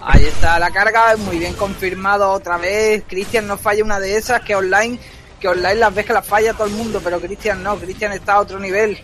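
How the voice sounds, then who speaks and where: A man doing fast, excitable play-by-play commentary on a fighting game, joking and shouting at big moments. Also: sits high, soft background music. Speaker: esports commentator.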